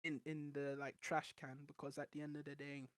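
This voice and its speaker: A man whose voice comes across high on tape talks as a podcast guest, rambling and animated with lots of restarts. The sound is dry, with no room around it. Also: fairly quick, no echo. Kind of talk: casual chat.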